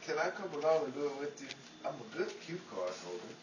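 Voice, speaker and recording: A man's voice: a little high, big pitch swings, fast, echoey room. Distant noisy recording, man playing hapless wisecracking showbiz hopeful, pleading banter, old-time radio comedy. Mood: eager, pleading.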